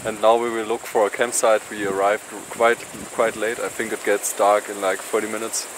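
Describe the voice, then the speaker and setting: A young man from Germany talking to his phone camera outdoors in the mountains, in a relaxed, halting way. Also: on the low side, background noise. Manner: relaxed, halting, plain-spoken. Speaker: hiking vlogger. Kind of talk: casual vlog narration. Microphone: phone at arm's length.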